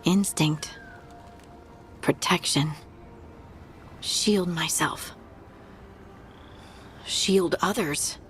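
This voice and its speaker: Casting: a young female game fighter, sincere and unsure of herself, unhurried delivery. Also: lowish voice, a little hiss or hum. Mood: reflective. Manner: hesitant, earnest. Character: earnest young female fighter unsure of her new magic. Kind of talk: video game cutscene acting.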